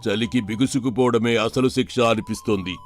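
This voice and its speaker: A man's voice: medium pitch, fairly even intonation, a little fast, faint music behind, close to an everyday voice. A man playing a weary, repentant langur-monkey ghost in a children's moral story, sighing and plaintive yet grateful.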